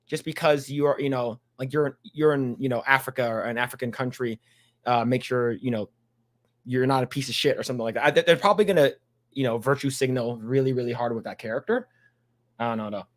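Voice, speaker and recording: A man's voice: medium pitch, fairly quick. A man hosting a casual podcast, reading an article aloud and chatting with many restarts and fillers. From Chinese background. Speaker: podcast host.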